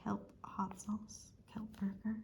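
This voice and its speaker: A woman's voice: medium pitch, monotone, slow. A woman whispering through an ASMR reading, playful and chatty in her asides. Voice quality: mostly whispered, breathy and soft. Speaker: ASMR vlogger.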